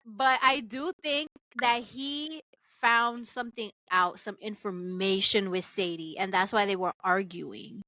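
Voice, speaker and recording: A woman's voice: medium pitch, a little slow, few pauses, sharp tone, dry recording, dull audio. A woman chatting casually as a podcast co-host, with a slangy, playful and opinionated way of talking. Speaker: book club podcast co-host. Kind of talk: casual chat.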